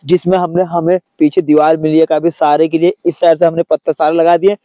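A man's voice: a little high, little pitch movement, fairly quick, warm tone, no echo. A man, talking casually to camera as a camping vlogger outdoors. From India. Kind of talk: casual vlog talk to camera. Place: outdoors in a forest by a stream.

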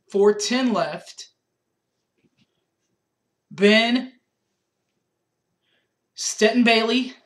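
A man's voice: sits high, fairly bright tone. A young man recapping a college football game from his bedroom, reading scores and stats methodically with restarts, recorded on a webcam. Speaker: sports fan reviewer. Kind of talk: postgame recap. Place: small bedroom indoors. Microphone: webcam at desk.

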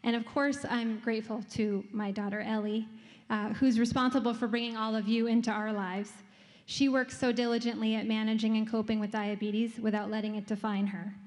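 A woman giving a formal, measured speech from a podium in a conference hall. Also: a little high, level tone, a little mellow. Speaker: event chair giving a speech. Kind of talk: prepared speech. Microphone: podium microphone. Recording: hall reverb.

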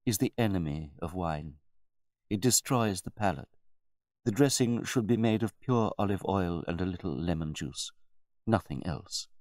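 A man voicing a deferential butler who is grave, formal and quietly disdainful, in a story reading. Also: low voice, animated, no room sound, studio quality, pitched above the performer's own voice. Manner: formal, measured, politely condescending.

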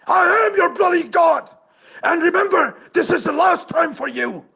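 A man playing a ruthless, domineering crime boss with a hoarse, croaky voice in an amateur audio drama. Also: extremely high pitch, expressive, bright, forceful tone, highs cut off, full character voice. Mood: furious threat. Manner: domineering, slow, menacing. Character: ruthless crime boss who calls himself God.

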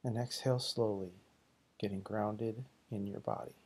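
A man leading a guided meditation in slow, deliberate, soothing short phrases, with a husky, gravelly voice. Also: on the low side, fairly even intonation, a little mellow.

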